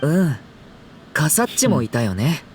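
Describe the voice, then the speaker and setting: A man playing a gentle, earnest young dragon in human form, acted in anime game style. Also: a little high, big pitch swings, brisk, a little hiss or hum. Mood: friendly.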